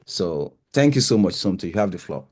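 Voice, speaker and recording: A male lecturer introduces a guest to his class in a fairly low voice. He talks hesitantly and leans on "you know" and "uh" between phrases. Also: very animated, a little fast, a little mellow, breathy edge. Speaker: university lecturer.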